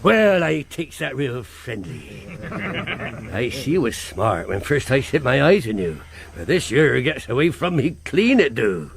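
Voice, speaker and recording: An actor playing a wily middle-aged pirate captain in a radio drama, with a theatrical seafaring dialect, moving between wheedling joviality and commanding menace. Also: male voice, mid-range voice, very animated, a little slow, vocal fry. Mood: slyly jovial.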